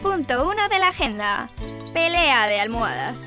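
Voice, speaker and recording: A woman voicing a prim, bossy young princess in an animated children's cartoon. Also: sits high, moderate pace. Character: bossy, orderly young princess hosting friends. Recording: music behind the voice.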